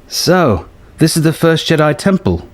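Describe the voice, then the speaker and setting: A man's voice: fairly high pitch, big pitch swings, measured pace, voice pushed up. A man voicing an eager, awestruck young woman apprentice in a told story. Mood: amazed.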